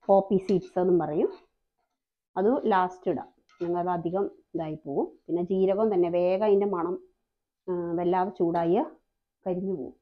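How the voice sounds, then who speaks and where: A woman's voice: medium pitch, narrow, tinny audio. A woman hosting a home cooking channel, talking through each step in a chatty, explaining way. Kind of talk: cooking demonstration voice-over.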